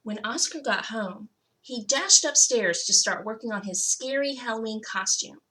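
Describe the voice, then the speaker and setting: Bright-voiced woman reading a picture book. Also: fairly high pitch, expressive, normal speed, rough recording. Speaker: school technology coordinator reading to children. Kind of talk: expressive children's picture-book read-aloud.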